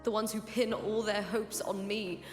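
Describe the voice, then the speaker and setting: An actress playing an earnest, self-doubting young female gladiator in a video game, solemn, reflective and measured. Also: medium pitch, fairly even intonation, lightly acted. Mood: pained, confessional. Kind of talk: dramatic video game voice acting. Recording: echoey long reverb under music.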